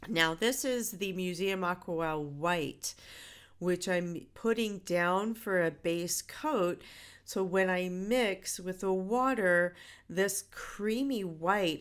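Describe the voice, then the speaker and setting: A middle-aged woman who is a bit stuffy from a head cold, talking through a relaxed drawing tutorial in a chatty way, with many fillers and self-corrections. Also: fairly low pitch, clear, resonant tone. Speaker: art instructor.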